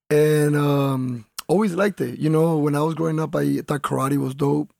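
A man's voice: fairly high pitch, moderate pace, creaky, darkish tone, dry recording, studio quality. A man in his thirties from Colombia, a fighter talking in a laid-back, rambling way in an interview. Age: 30s. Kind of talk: casual interview talk.

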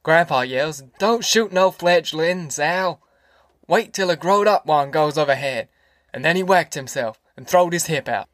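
A man's voice: on the high side, moderate pace, hardly any pauses, a bit bright, no room sound, studio quality, lightly acted. A man voicing a boisterous older farmer father in an audiobook, rambling and folksy, with a rural Southern American accent. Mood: amused, boisterous. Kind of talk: audiobook character acting.

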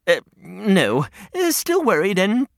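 Storyteller doing a worried hedgehog, lowish and slow, kinda deflated. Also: huge pitch swings, gravelly, no room sound, clean studio sound, heavily acted. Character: anxious hedgehog who fears everything.

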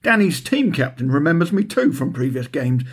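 A man's voice: on the high side, very animated, normal speed, husky. A man from England reading his own first-person story as scripted narration, with a wry, dryly humorous delivery. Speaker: author reading own audiobook chapter.

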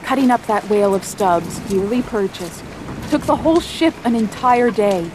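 A woman's voice: medium pitch, lively intonation, moderate pace, noisy background, lightly acted. A woman playing the grave, wry seafaring narrator of a whaling tale, in dramatic scripted narration. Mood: storytelling.

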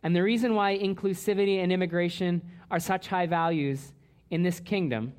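A man's voice: sits high, fairly even intonation, normal speed, darkish tone, clean tone. A man from Canada, a pastor preaching a sermon in church, earnest, often restarting and repeating words.

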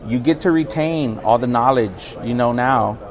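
Man, from San Antonio, podcast host, mid-range voice, brisk, reads questions. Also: medium pitch. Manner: brisk, affirming with quick yeahs. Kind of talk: reading interview questions.

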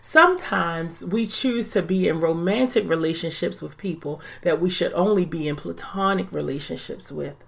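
A woman in her forties hosting a faith-based podcast, talking in an earnest, deliberate, reflective way. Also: on the low side, creaky, fairly bright tone. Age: 40s. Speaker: podcast host.

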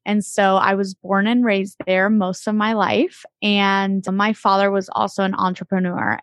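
A woman in her thirties from the Dominican Republic, a guest in an interview who talks in a warm, chatty way. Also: mid-range voice, dry recording. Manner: warm and chatty, with many fillers. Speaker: podcast guest.

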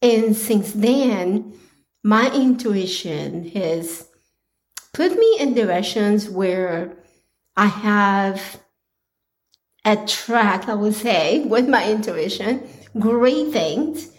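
A woman hosting her own podcast, talking earnestly with a slightly raspy, hoarse voice, pausing and restarting often. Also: mid-range voice, lively intonation. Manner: slow, earnest, with pauses and restarts. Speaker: podcast host. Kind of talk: casual solo podcast talk.

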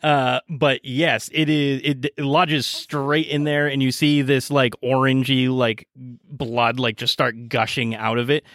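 A man's voice: mid-range voice, level tone, unhurried, a bit bright. A man acting as game master, improvising narration and rulings for a tabletop game in a conversational way.

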